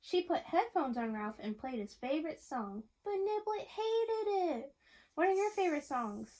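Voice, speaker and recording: A woman reading a picture book aloud to young listeners in an animated way, chatting and asking them questions as she goes. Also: sits high, normal speed. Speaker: reader of a picture book to children. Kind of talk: read-aloud storytelling with chat to young listeners.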